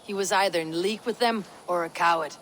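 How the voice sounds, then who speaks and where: A woman's voice: on the low side, fast talker, fairly bright tone, slight background noise, natural voice. A woman playing a stern, blunt Viking warrior in a video game, questioning in a terse, commanding way. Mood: grave, reporting. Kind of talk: video game cutscene acting.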